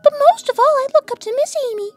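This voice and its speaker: Little cartoon rabbit girl: extremely high, swooping, prim and formal, sharp streak, dry recording. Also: animated, fast talker, no echo, faint music behind. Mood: sweet, prim. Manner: sweet, formal, primly disapproving. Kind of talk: in-character cartoon Q&A.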